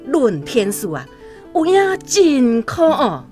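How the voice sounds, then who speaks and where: A woman with a Taiwanese accent narrating a literary radio drama in an expressive, dramatic storytelling manner. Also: high voice, takes it slowly, soft background music.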